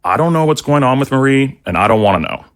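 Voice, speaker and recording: An actor playing a grave, guarded older general in an audio drama, speaking in a formal, measured, confiding way. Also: male voice, mid-range voice, very animated, a bit bright. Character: grave, guarded older male military general, quietly loyal.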